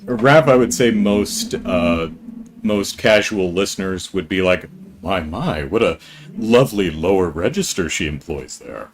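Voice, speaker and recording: Male podcast host, very low voice, casual, meandering. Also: animated, measured pace, a little hiss or hum. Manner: rambling, many ums and restarts. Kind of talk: casual live-stream interview.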